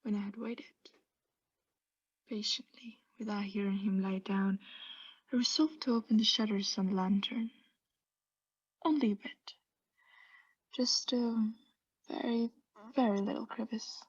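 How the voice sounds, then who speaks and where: A woman playing an obsessed mad murderer in a theatrical radio play, confessing intensely in hushed whispers and frantic outbursts. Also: mid-range voice, fairly even intonation, unhurried, long pauses, slightly rough, dull audio. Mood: hushed, creeping dread.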